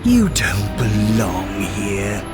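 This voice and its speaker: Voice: low voice, big pitch swings, drawn-out words, pitched below the performer's own voice, full character voice. A storyteller voicing a cruel, imperious sorceress queen in a children's tale, sneering and commanding, with music behind. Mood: cold menace. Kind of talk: storybook read-aloud character voice. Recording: background music.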